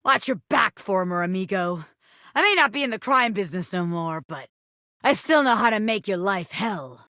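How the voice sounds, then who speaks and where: A woman's voice: mid-range voice, expressive, normal speed, sharp tone, no room sound, studio quality. A woman voicing a brash, scrappy ex-gangster bobby pin turned flirty magician in a video game, with cocky, theatrical streetwise slang. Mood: menacing. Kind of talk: animated video game character acting.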